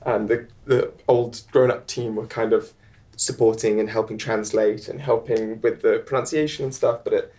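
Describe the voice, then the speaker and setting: A young man, a filmmaker guest, chatting at a live panel in a halting way, with many ums and restarts. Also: medium pitch, steady tone, darkish tone. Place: live event on stage.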